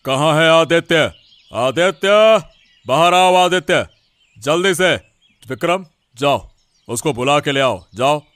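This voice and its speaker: A man's voice: fairly high pitch, slow pace, a bit bright, no room sound. A man voicing a respected village headman in a cartoon story, reassuring and authoritative. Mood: commanding. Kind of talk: Hindi cartoon moral story dialogue.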